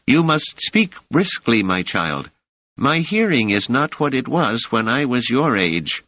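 A man voicing a frail woman in her seventies with a soft, high-pitched voice who is hard of hearing. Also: sing-song, a little slow, no room sound, muffled recording, heavily acted. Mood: gentle, peering. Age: over 70.

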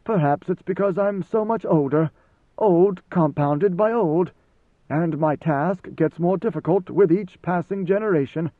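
A man reading an audiobook, voicing an ancient, weary god-emperor who speaks slowly and solemnly. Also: high voice, dry recording, voice pushed up. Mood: brooding. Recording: thin phone-like dry sound.

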